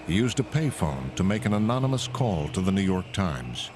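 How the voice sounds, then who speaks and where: An American man reading scripted documentary narration in a measured, serious way. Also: low voice, big pitch swings, background noise. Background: background music.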